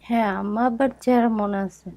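A woman's voice: on the high side, fairly even intonation, brisk, mellow, dry recording. A woman, a guest on a family reunion show, speaking in short conversational answers. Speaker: guest searching for lost family.

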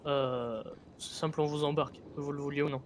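Curt higher-voiced man playing a sheriff. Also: little pitch movement, fairly quick. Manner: formal, curt, authoritative commands. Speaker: player role-playing a sheriff in an online game. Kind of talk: improvised law-enforcement role-play. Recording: faintly noisy gaming voice chat.

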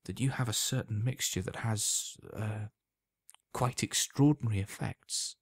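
A man's voice: lowish voice, measured pace, no echo, studio quality. A man voicing a nervous, hesitant male customer in a storybook reading. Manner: hesitant, anxious.

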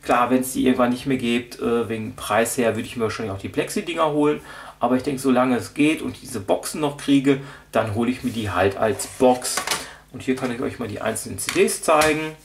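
A man's voice: medium pitch, a little fast, creaky. A man talking casually off the cuff in a show-and-tell vlog, rambling and hesitant as he thinks aloud. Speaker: vlogger showing audio drama collection. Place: indoors at a table, off camera.

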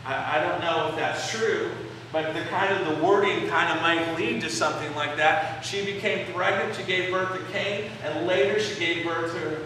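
A man in his forties preaching a sermon in a church sanctuary, explaining a passage with pauses on key phrases, recorded by a camera out in the pews. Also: a little high, bright, forceful tone, a little hiss or hum. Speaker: pastor. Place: church sanctuary, indoors, medium-sized. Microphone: far camera in the pews. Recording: echoey church from far off.

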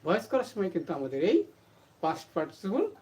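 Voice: mid-range voice, wildly swooping pitch, quick pace, warm tone. A teacher explaining a lesson to students, posing questions and then answering them, with English terms mixed in. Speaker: English grammar teacher for an online channel.

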